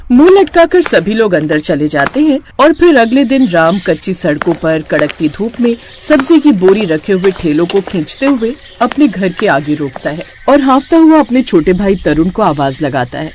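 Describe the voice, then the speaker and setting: A woman tells a scripted cartoon moral tale as its narrator. Her pitch is medium and moves around in an animated way. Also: moderate pace, few pauses, a little hiss or hum. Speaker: storyteller.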